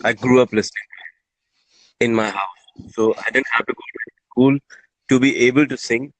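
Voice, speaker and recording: Guest, man, 40s, from India, measured delivery, mid pitch, dry. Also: no room sound, rough recording. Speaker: guest singer. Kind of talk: casual interview chat.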